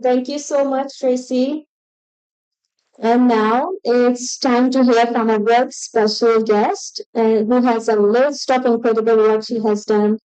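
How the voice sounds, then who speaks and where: A woman emceeing an event, introducing a guest speaker in a loud, announcing tone. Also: on the high side, fairly even intonation, unhurried, clean tone, low-quality recording. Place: event stage.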